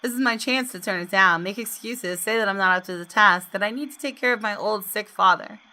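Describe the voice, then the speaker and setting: Woman, gamer narrator, bright forceful voice, medium pitch, expressive read-aloud, casual asides. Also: fairly quick. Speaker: gaming YouTuber.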